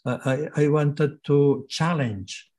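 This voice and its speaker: A man's voice: fairly high pitch, slow, a little mellow. A man in his sixties with a Catalan accent, giving a hesitant lecture by video call from a home study, with many fillers and restarts. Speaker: guest lecturer. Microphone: laptop webcam on video call.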